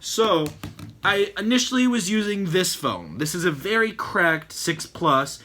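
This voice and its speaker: A man hosting a casual storytime vlog, talking in a chatty, exasperated way with a voice that is slightly hoarse. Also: high-pitched, very animated, measured pace, pushed and bright, slight background noise. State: slightly hoarse, losing his voice. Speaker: channel host.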